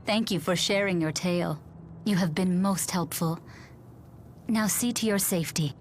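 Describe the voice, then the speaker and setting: An actress playing a calm, dignified great spirit, speaking formally and with composure in a video game drama. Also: female voice, lowish voice, normal speed, soft background music, natural voice.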